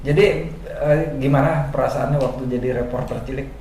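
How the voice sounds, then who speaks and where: A man's voice: mid-range voice, steady tone, normal speed, darkish tone. Chatty male radio host, echoey room. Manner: informal, chatty, colloquial. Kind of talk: casual radio interview with station announcements. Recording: echoey room with faint background noise.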